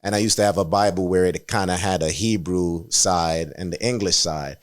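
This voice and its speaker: A man's voice: low voice, normal speed. A man from Jamaica, a guest artist in a casual interview, talking in an earnest, reflective way with easy chuckles.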